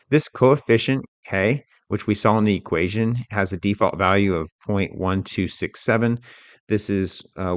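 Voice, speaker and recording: Man, very low voice, matter-of-fact instructor, step-by-step tutorial narration, dry room, slightly dull lo-fi audio. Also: no room sound. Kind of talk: software tutorial narration with informal step-by-step explanation.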